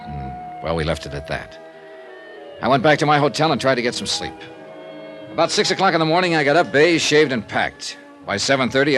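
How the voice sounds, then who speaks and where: A man performs a hard-boiled American investigator in vintage radio drama style. His low voice is clipped, blunt and matter-of-fact, weary of the world. It is an old radio recording with some music. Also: natural voice. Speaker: lead actor and narrator. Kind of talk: 1950s radio detective drama acting.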